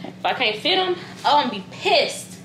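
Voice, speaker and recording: A young woman with a Southern American accent vlogging casually from her apartment kitchen, chatty and excitable. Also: high-pitched, a little fast. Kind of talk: casual vlog chat. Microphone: camera at a distance. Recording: echoey room, clear background noise.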